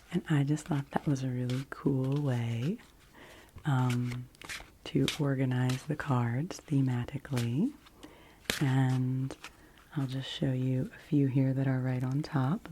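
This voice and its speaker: Very low, hushed ASMR woman. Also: a little hiss or hum. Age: 40s. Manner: hushed, gentle, unhurried. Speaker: ASMR host. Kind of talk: soft ASMR card reading, partly whispered.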